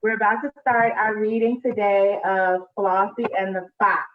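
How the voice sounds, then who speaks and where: A woman's voice: medium pitch, flat delivery, moderate pace, a little hiss or hum. A woman hosting a live children's read-aloud, chatting to families at storytime. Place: indoors at home, on a live video call. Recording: echoey room, distant muffled mic.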